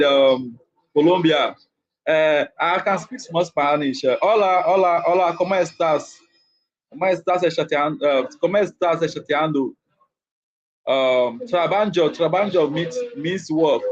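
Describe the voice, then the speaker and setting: A man's voice: a little high, moderate pace, lo-fi sound. A man from Nigeria with a Nigerian accent, hosting a casual livestream chat and repeating his phrases over and over. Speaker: livestream host.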